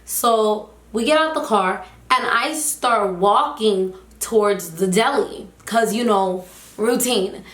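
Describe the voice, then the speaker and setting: A young woman telling a casual storytime vlog, chatty and animated with lots of fillers, her voice slightly hoarse. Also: medium pitch, slow. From United States, Haitian family. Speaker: vlogger, teacher. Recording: echoey room.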